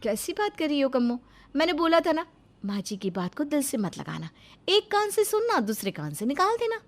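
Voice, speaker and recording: An exasperated young wife played by a woman in a moral story drama. She is sharp-tongued and emphatic, with a high voice that moves up and down a lot. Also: big pitch swings, normal speed. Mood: advising.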